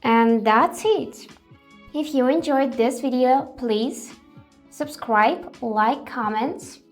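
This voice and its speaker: Higher-pitched woman presenting a tutorial. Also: slow pace, faint music behind. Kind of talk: step-by-step product tutorial.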